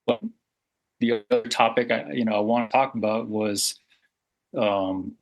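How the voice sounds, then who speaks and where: A man's voice: on the low side, unhurried, vocal fry, rough recording. A man in his forties from Samoa, talking earnestly as an interview guest, reflective, with frequent fillers and restarts. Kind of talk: candid interview talk.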